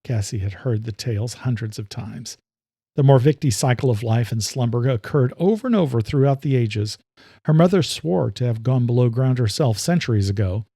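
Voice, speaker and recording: A man narrating a horror-thriller story in a measured, steady storytelling manner. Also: mid-range voice, slightly rough, no echo, studio quality. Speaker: narrator and author. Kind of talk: scripted audiobook narration.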